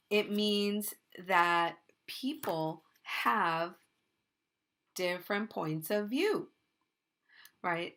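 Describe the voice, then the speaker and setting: A woman from Mexico gives the children's story in a church. She explains slowly and simply and keeps checking in with "right?". Her pitch sits in the middle for a woman. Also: fairly bright tone. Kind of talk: gentle children's story talk. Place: church sanctuary.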